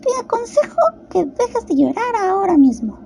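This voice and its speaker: A woman's voice: extremely high pitch, very animated, moderate pace, creaky, mellow, a little hiss or hum, pitched above the performer's own voice, full character voice. A woman voicing an anxious, curious little girl who talks earnestly to herself in a storybook audio drama. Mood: firm scolding.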